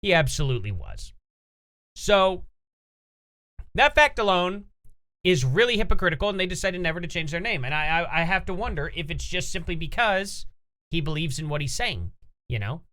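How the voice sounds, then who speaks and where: A man's voice: sits high, big pitch swings, normal speed, sharp tone. A man hosting a casual reaction commentary, opinionated and rambling as he reads posts aloud. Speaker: commentary channel host.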